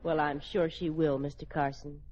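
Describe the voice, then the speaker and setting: An actress playing a witty, wry American housewife in an old-time radio sitcom. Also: female voice, low voice, level tone, moderate pace, a crowd in the background, close to an everyday voice. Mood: gentle. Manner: wry, polite, theatrical. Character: bright, witty suburban housewife and daughter. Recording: dull, noisy vintage radio with studio audience.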